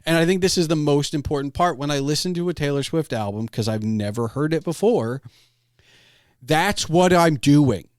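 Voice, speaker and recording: A man's voice: fairly high pitch, moderate pace, no room sound, clean studio sound. A middle-aged man in casual podcast conversation, rambling and digressive, often breaking off his clauses to start another. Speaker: podcast host.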